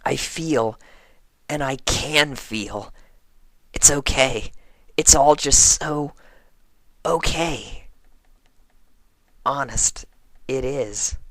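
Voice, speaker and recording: Man acting female cartoon pony, brash, lovestruck, strained rasp, gushing first-person storytelling, unhurried, close dry recording. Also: mid-range voice, a bit bright, no echo. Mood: tender, earnest. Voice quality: strained, put-on raspy voice. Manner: halting, gushing, smitten. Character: brash, lovestruck young female pegasus pony, tomboyish and teasing. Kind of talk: first-person fan fiction reading in character.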